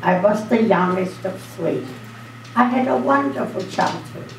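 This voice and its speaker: A woman's voice: mid-range voice, animated, a little slow. An older woman from Austria telling her story earnestly to a school audience as guest speaker. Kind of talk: speaking to students. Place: school auditorium. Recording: echoey hall, distant mic, faint hum.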